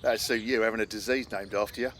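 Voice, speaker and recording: A man's voice: on the low side, fairly even intonation, fast talker, fairly bright tone, a little hiss or hum, natural voice. A man playing a surly, irritable, sneering gang thug in a comic radio play. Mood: irritated, mocking.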